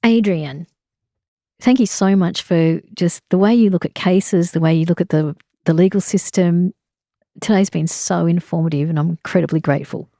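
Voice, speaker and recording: Woman podcast host, low warm voice, polite questions, dry mic. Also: normal speed, no room sound. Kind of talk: interview questions.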